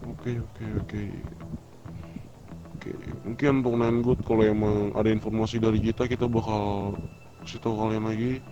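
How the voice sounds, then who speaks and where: A man's voice: lowish voice, flat delivery, moderate pace, pauses often, noisy background, natural voice. Man playing a muffled, agreeable gang leader. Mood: cooperative, casual. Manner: informal, hesitant, agreeable. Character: cooperative, casual male street gang leader reporting information. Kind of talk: online game roleplay dialogue. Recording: muffled game voice chat with music.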